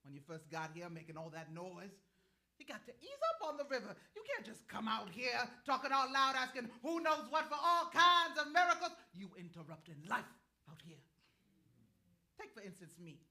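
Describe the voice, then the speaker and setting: A woman's voice: fairly high pitch, sing-song, normal speed, pushed and bright, full character voice. A woman playing a sly, earthy, ageless river woman in a staged play reading, with a folksy, teasing, knowing way of talking. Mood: knowing, scolding. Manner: folksy, teasing, chuckling drawl of a wise elder.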